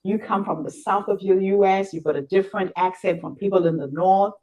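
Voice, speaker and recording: Host whose first language is Shona. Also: female voice, medium pitch, poor audio. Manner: warm praise, careful slow questions. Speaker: interview host. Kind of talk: online summit interview.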